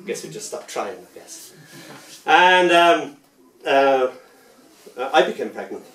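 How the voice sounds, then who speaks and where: A man reading his poetry aloud, with a rhythmic, playful delivery that softens into gentle lullaby lines. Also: medium pitch, animated, slow. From Ireland. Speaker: poet. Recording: roomy sound from a distant mic.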